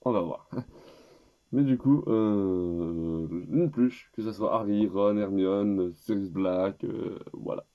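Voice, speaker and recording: A man's voice: on the low side, takes it slowly, phone-quality sound. A teenage boy with a head cold, rambling and hesitant as he presents gift picks in a casual vlog. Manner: rambling and hesitant, with many restarts. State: stuffed up with a cold. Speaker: YouTuber.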